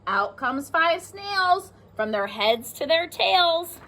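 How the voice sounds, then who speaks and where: Animated, slow-paced woman reading to young children. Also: very high-pitched. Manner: expressive, sing-song, addresses young children, stresses rhyming words. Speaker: pre-K teacher reading aloud. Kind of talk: animated children's read-aloud with asides.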